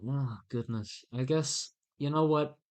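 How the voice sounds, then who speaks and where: A man chatting casually on a live stream while he draws, in a halting, self-mocking way. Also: medium pitch.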